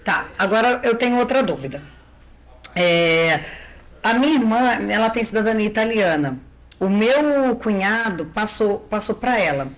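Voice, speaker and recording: A woman's voice: medium pitch, expressive, normal speed. A Brazilian woman hosting a podcast interview, asking curious follow-up questions and affirming often. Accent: Brazilian Portuguese. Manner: curious, asks follow-ups with many affirmations. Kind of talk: conversational interview.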